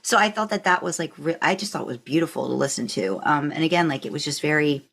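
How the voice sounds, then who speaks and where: A woman with a slightly low-pitched voice hosts a relaxed, conversational interview. She thinks out loud, halting and rephrasing as she goes, and affirms her guest in an encouraging way. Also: gravelly, slightly rough. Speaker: podcast host interviewing a guest.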